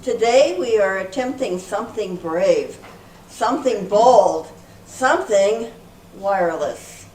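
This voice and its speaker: A woman's voice: mid-range voice, lively intonation, slow, darkish tone. A woman playing an eager, easily flustered group leader in amateur readers theater, speaking earnestly. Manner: earnest, presenting. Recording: echoey room from far off, faint noise.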